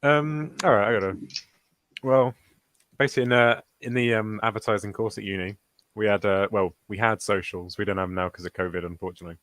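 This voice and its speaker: A young man speaks quickly at a slightly low pitch over a video call, hesitant and filling gaps with ums. The sound is close and dry. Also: fairly even intonation, fairly bright tone, no room sound. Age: young adult. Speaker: podcast guest, university student. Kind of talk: casual chat on a video call.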